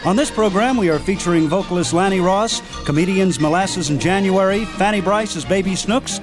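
A man's voice: high-pitched, a little fast, low-quality recording. A man hosting a radio show, reading scripted announcer narration about programs of the past. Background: loud, clear music.

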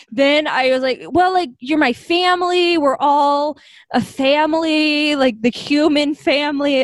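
A woman's voice: high voice, dry recording. A young adult woman, a guest, telling stories in a casual interview, laughing often as she talks. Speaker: founder of a hospitality home, guest.

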